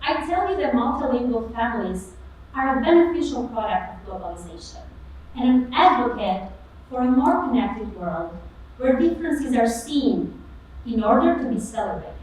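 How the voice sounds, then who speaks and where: A woman's voice: a little high, expressive, normal speed, darkish tone. Albanian-native woman in an echoey hall. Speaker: competition presenter. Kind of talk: rehearsed research talk. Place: auditorium stage. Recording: echoey hall, far mic, faint noise.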